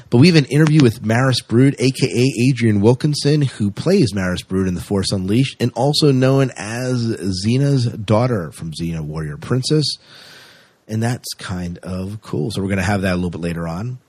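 A man's voice: lowish voice, expressive, normal speed, darkish tone, slightly rough, no echo. A man co-hosting a podcast in a casual, chatty, jokey way with rambling asides. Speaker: podcast co-host.

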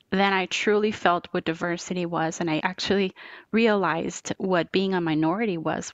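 A woman's voice: medium pitch, dry recording. A middle-aged woman from Colombia answering interview questions earnestly, often repeating words and checking in with "right?". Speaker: interview guest.

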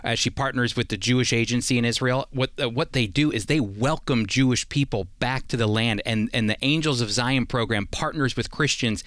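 Mid-pitched male radio host, dry studio sound. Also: fairly quick, hardly any pauses, fairly bright tone, dry recording. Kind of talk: conversational radio talk.

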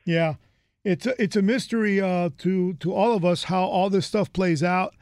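A man's voice: high voice, steady tone, normal speed, darkish tone, no room sound, clean studio sound. A man from the United States hosting an interview in a rambling, chatty way, full of fillers and restarts. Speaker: host. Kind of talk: casual interview chat.

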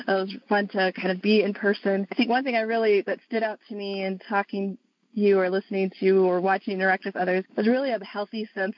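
A woman's voice: mid-range voice, dry recording. Woman podcast host, affirming, rambling questions, dull dry sound. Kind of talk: podcast interview.

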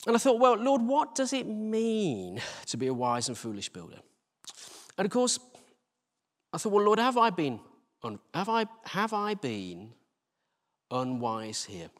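A man's voice: sits high, wildly swooping pitch, unhurried, long pauses. A middle-aged man preaching through personal anecdote, in a conversational, self-deprecating way, recorded live in a church hall. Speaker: church team leader preaching. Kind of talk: anecdotal sermon. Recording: reverberant hall.

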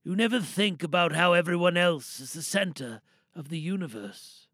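A man acting a remorseful, guilt-laden confessor in an audio drama monologue, reflective and halting, with a creaky voice. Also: high voice, a bit bright, dry recording, clean studio sound. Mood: remorseful, subdued. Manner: halting, reflective, plain-spoken. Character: remorseful man confessing to having taken a woman's life.

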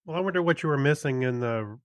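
A man's voice: medium pitch, expressive, fast talker, dry recording. A man co-hosting a podcast, chatting casually in a slightly hesitant, conversational way. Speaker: podcast co-host. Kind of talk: casual chat.

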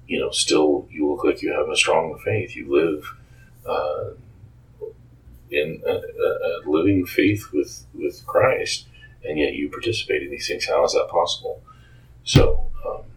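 Very deep, slow-spoken man. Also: poor audio. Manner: halting, measured, earnest advice-giving. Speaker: game creator and author being interviewed. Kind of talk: reflective interview answers.

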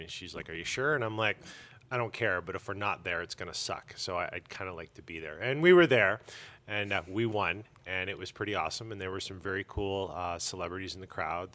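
A man telling stories informally as an interview guest, animated and a little self-deprecating. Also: low voice. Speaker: interview guest, comic store owner. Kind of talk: casual storytelling interview.

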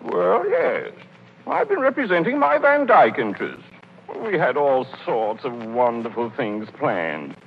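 An actor playing a happily deluded, hesitant gentleman who fancies himself a financier, in 1930s radio comedy style. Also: mid-range voice, huge pitch swings, unhurried, creaky, slightly rough, heavily acted. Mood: indignant, boastful. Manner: hesitant, stammering, plaintive. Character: happily demented, melancholy gentleman with grandiose financial delusions. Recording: muffled, noisy 1930s radio broadcast.

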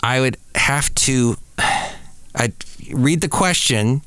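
A man's voice: medium pitch, lively intonation, extremely slow, fairly bright tone, clear, resonant tone, no room sound. A middle-aged man hosting a podcast, rambling casually and playfully interrupting himself. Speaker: podcast host. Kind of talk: casual solo podcast commentary.

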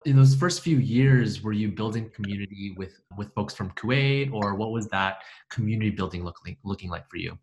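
A man's voice: lowish voice, brisk, hardly any pauses, a little mellow, a little hiss or hum, rough recording. A man hosting a podcast, reading an upbeat scripted intro and asking interview questions. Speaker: podcast host.

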